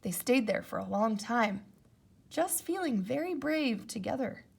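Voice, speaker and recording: A woman reading a children's storybook aloud as an expressive narrator. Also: fairly high pitch, measured pace, creaky, husky. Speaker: storybook reader.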